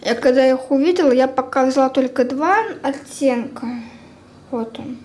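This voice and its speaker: A young woman chatting casually through a product review as a beauty vlogger, informal and unhurried, thinking aloud. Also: high voice, steady tone, a little mellow. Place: indoors at a table at home. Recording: clear background room noise.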